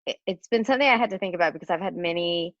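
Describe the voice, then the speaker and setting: A woman's voice: fairly low pitch, rapid-fire, no echo, lo-fi sound. A woman in her forties from the United States, a psychotherapist guest speaking in a chatty, reflective way. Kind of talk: conversational interview.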